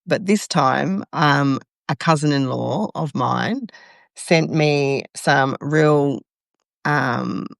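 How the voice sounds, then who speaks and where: Gravelly-voiced woman chatting easily. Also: sits low, extremely slow, no room sound, studio quality. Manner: relaxed, agreeable, laughs easily. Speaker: podcast co-host. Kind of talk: casual chat.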